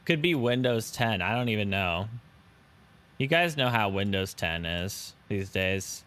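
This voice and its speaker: Slow, smooth low-voiced male streamer. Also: expressive, fairly bright tone. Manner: chatty, answers viewers' chat and thanks subscribers. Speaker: live streamer. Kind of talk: casual live-stream chat while flying a simulator.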